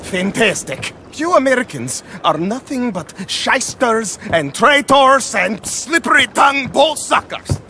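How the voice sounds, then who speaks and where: A man's voice: extremely high pitch, very animated, measured pace, hardly any pauses, bright, forceful tone, a little hiss or hum, exaggerated voice. A male actor playing a bitter, boastful inventor in a video game, ranting grandly in broken English with an Eastern European accent. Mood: bitter, sarcastic. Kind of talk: video game character acting.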